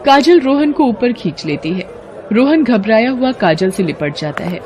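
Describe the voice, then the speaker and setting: A woman's voice: fairly high pitch, big pitch swings, normal speed, a crowd in the background, background noise. A woman reading scripted story narration for a family cartoon.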